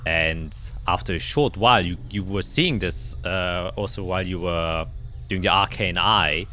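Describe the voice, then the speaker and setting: A man narrating a tabletop fantasy game as game master, in a halting, descriptive storytelling manner. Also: low voice, slight background noise. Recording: music playing behind the voice. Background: loud background music.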